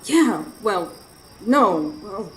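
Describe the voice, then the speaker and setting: An actress playing a feisty, fearless wife in a live radio horror drama, speaking with sassy, dramatic defiance. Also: female voice, mid-range voice, sing-song, extremely slow, low-quality recording. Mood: impatient. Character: feisty, jealous, fearless Southern wife fighting a witch. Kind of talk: live radio drama acting and first-person narration.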